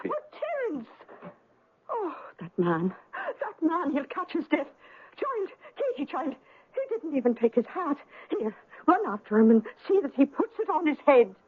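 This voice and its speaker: A woman's voice: sits high, wildly swooping pitch, unhurried, exaggerated voice. An actress playing a long-suffering, devoted, fretful wife and mother in an old-time radio drama. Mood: fretful, anxious. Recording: tinny old radio recording.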